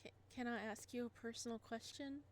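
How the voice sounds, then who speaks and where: A woman voicing a shy, frightened young male ghost who stammers softly in a visual novel. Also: a little high, steady tone, fairly quick, slight background noise, lightly acted. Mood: timid. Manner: stammering, hushed.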